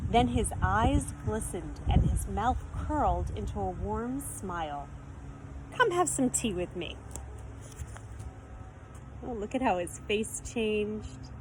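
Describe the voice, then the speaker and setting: A woman storyteller reading a children's picture book expressively, in a teacherly manner. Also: medium pitch. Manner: teacherly, explains words and asks listeners questions. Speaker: read-aloud storyteller. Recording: faint background noise.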